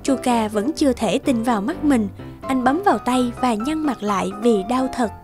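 A woman narrating a scripted children's fairy tale with expressive storytelling. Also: fairly high pitch, moderate pace, over music.

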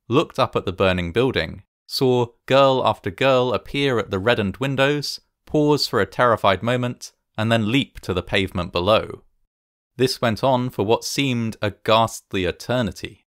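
A man reading scripted documentary narration in a measured, solemn and formal manner. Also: mid-range voice, a bit bright, dry recording, clean studio sound.

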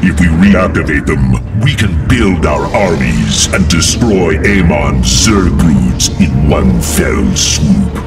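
An actor playing a proud, battle-eager robotic alien warrior in a video game, forceful and declamatory. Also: male voice, a bass voice, animated, slow, vocal fry, hardly any pauses, recorded from a distance, over loud music, heavily acted. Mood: eager, martial. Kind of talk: video game cinematic acting.

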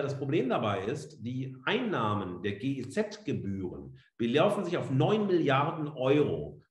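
Animated middle-aged lecturer, echoey room. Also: male voice, mid-range voice. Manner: emphatic, colloquial, punctuates with rhetorical questions and tags. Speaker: professor giving a lecture. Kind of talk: public lecture, spoken freely.

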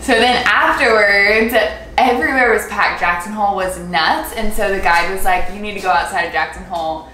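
A woman's voice: medium pitch, normal speed, hardly any pauses, slight background noise. A young woman telling trip stories on a couple's vlog from her living room, bubbly and chatty. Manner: bubbly, lively storytelling. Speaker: vlogger. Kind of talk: casual couple vlog chat. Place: home living room, indoors. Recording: roomy echo with faint music.

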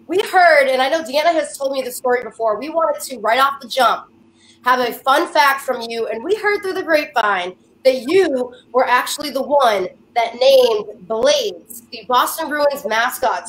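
A woman's voice: high voice, a bit bright, slight background noise. A young woman hosting an upbeat interview show over a video call from a room at home. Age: young adult. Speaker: show host. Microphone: video call microphone. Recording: roomy video call sound.

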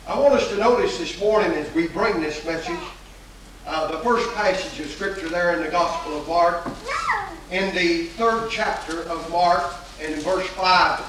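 A man's voice: sits high, moderate pace, a bit bright. An older man preaching a folksy, emphatic sermon from a church pulpit, with repeated phrases and filler uhs, recorded on 1980s video. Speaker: pastor. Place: large church sanctuary at a pulpit. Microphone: pulpit microphone, far camera. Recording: echoey church hall from far off, hissy 1980s video.

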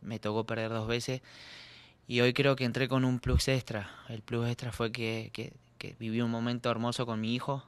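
A young man, a soccer player answering at a postgame press conference, earnest and halting with repeated words, speaking into a desk mic. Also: medium pitch, little pitch movement, clear, resonant tone. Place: press room. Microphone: desk mic on stand.

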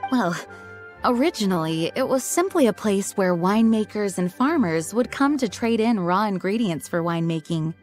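A woman voicing an earnest, dutiful young knight leader in an anime video game, speaking in a composed, formal, measured way. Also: medium pitch, expressive, darkish tone, soft background music, natural voice. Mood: courteous, informative.

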